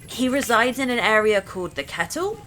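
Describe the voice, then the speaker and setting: A woman's voice: fairly high pitch, very animated, a little fast, fairly bright tone, a little hiss or hum, poor audio. A woman presenting a scripted gameplay walkthrough in an upbeat, conversational manner with wry asides. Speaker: game publisher team member presenting gameplay. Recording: music behind the voice.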